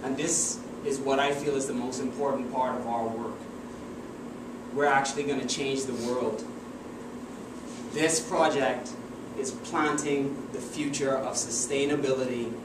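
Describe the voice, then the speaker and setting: A young doctoral student from the Bahamas delivers a memorized talk in an earnest, emphatic way, with a mid-range voice. He stands at a distance from the camera in an echoey university room. A steady air-conditioning hum runs clearly underneath. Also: medium pitch, a little slow. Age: young adult. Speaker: PhD student presenter. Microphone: far camera, no visible mic.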